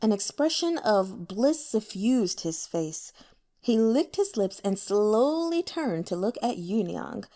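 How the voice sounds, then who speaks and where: A woman's voice: mid-range voice, very animated, slow, mellow. A woman reading fiction aloud as an audiobook narrator, in a dramatic storytelling style.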